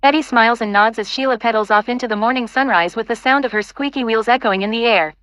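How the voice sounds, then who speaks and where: A woman's voice: a little high, fast, a bit bright, dry recording. A woman reading scripted stage directions as the narrator of an audio play.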